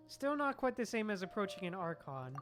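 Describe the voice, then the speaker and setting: A woman's voice: mid-range voice, sing-song, normal speed, music in the background. A woman streamer giving casual live commentary on a game, giggly and cooing fondly at the characters.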